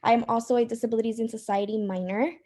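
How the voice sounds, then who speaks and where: A woman's voice: fairly high pitch, steady tone, very fast, warm tone. A young woman from Cuba, president of a student program, introducing the board in a casual, friendly talk with many ums. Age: 20s. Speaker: club president. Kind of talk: casual presentation to a student group.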